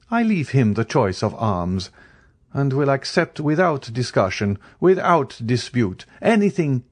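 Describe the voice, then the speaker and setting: Male narrator as aristocratic count, storybook villainous hauteur, mocking, grand declamation, raised swooping pitch. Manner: haughty, grandly declamatory, formal. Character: proud, theatrical aristocratic count, coldly mocking and menacing.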